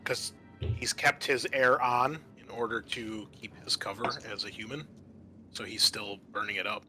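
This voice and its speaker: A man working as game master, improvising narration for a tabletop roleplaying game in an informal, conversational, halting way. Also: lowish voice, steady tone, bright, forceful tone. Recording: music behind the voice. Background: music.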